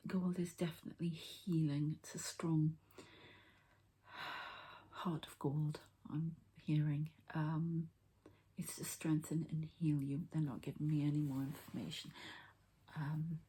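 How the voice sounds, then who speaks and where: A woman softly whispering in an unhurried, halting murmur, presenting an ASMR energy healing as the healer. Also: low voice. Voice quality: soft, breathy whisper with little voicing. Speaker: energy healer presenting her own channel. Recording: faint background noise.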